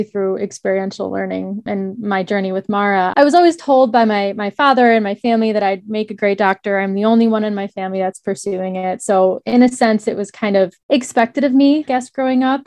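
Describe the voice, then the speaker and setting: A woman's voice: medium pitch, darkish tone, dry recording. A young woman in her twenties, a student guest telling her personal story in an earnest, reflective way with small hesitations.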